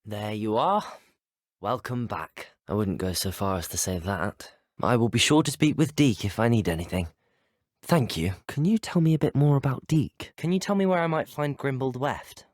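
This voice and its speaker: A man's voice: medium pitch, lively intonation, normal speed, no room sound, clean studio sound, natural voice. A young man with a British accent playing a polite, earnest, inquisitive teenage student wizard in a video game, courteous and well-spoken. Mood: polite, friendly, then curious, frank. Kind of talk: scripted video game dialogue voice lines.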